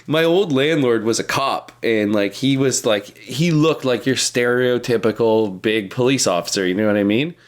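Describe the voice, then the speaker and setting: A man's voice: mid-range voice, moderate pace, few pauses. A man chatting casually as a podcast co-host, crude and sweary in a joking way. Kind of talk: casual comedic chat. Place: remote podcast call.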